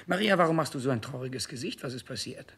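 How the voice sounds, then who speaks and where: A man's voice: mid-range voice, lively intonation, fast talker, husky, exaggerated voice. An actor playing an imperious, petulant, ailing king in denial, pompous and capricious, in a 1960s radio play. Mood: dismissive, petulant. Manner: capricious, pompous, swinging from shouts to mutters.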